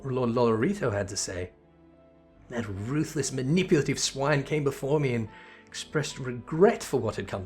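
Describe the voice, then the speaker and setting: A man's voice: medium pitch, close to an everyday voice. Man voicing an earnest, regal young sultana, music behind. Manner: formal, measured, courtly phrasing.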